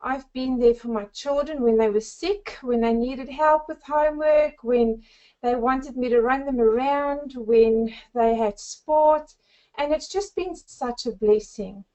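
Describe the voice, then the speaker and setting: A woman in her forties from South Africa with a South African accent, giving an effusive, upbeat sales pitch on a group call. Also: a little slow, dark tone, muffled recording. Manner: effusive, upbeat, addresses listeners as friends. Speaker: network marketing presenter.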